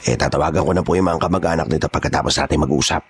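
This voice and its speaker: A man playing a gruff, blunt kidnapper boss in a horror audio drama. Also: very deep voice, racing, full character voice. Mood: deferential. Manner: rough, street-tough, blunt. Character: gruff, menacing male kidnapper gang boss.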